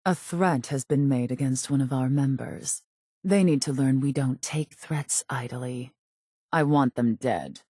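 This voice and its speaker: An actress voicing a cold, calculating raider gang leader in a video game, composed and formal, with measured, quietly menacing delivery. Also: female voice, extremely low pitch, husky, no echo, studio quality, heavily acted. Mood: curt, commanding. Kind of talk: video game character voice acting.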